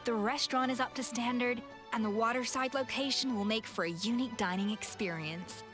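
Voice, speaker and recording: A woman's voice: fairly high pitch, fairly quick, music in the background, natural voice. A woman playing a confident, exacting young chef in a video game, speaking in a composed, precise, refined manner. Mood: self-assured.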